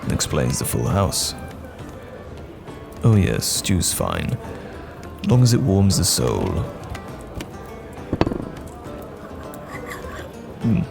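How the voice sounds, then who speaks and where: Very deep, gravelly wandering knight played by a man. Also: very animated, heavily acted. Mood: relaxed, appreciative. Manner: measured, courteous, old-fashioned phrasing. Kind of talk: fantasy audio roleplay acting. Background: music.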